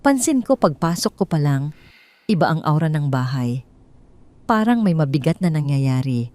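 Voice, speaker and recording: Woman voicing a stern, imperious older matriarch, low-pitched. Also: very animated, moderate pace, a little mellow. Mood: suspicious. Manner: authoritative, probing, formal. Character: stern, imperious older wealthy mother-in-law, suspicious matriarch.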